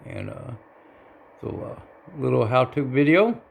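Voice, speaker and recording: A man giving a casual, unscripted how-to demonstration in a halting, folksy, plain-spoken way, as a hobbyist. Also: medium pitch, very animated, vocal fry, a little hiss or hum. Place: indoor home radio room.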